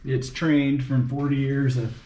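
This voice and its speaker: A man's voice: medium pitch, little pitch movement, unhurried, mellow. Man muttering to himself in a roomy echo. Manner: muttering, thinking aloud in short fragments. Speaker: car mechanic making a repair video. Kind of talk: unscripted talking to himself while working on an engine. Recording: roomy echo, light background noise.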